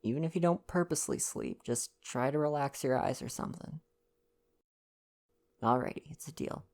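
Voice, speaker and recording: A young man doing an intimate ASMR boyfriend roleplay, chatting casually and reading aloud in a gentle, playful, affectionate way. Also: on the high side, normal speed. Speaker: ASMR roleplay performer and reader.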